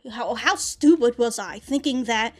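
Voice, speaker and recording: A woman's voice: fairly high pitch, a little slow. A young adult woman hosting an anime reaction vlog, rambling through a casual review with frequent restarts and laughs. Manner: rambling, animated, laughs often, many restarts.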